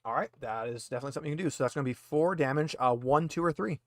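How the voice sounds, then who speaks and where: A man's voice: medium pitch, very fast, dry recording. A man runs a tabletop roleplaying game as game master. He talks casually and explains the rules as he goes. The sound is dry, with no room around the voice.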